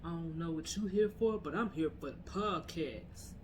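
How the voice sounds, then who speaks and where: A young woman and mother co-hosting a casual podcast with her wife, with dry, unhurried jokes. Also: lowish voice, a little hiss or hum. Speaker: podcast co-host. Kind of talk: casual chat.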